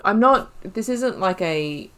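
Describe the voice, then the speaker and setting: A woman's voice: mid-range voice, expressive, slow pace, fairly bright tone, smooth. A young woman giving casual let's-play commentary, chatting and thinking aloud about her choices in the story. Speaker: gaming video creator.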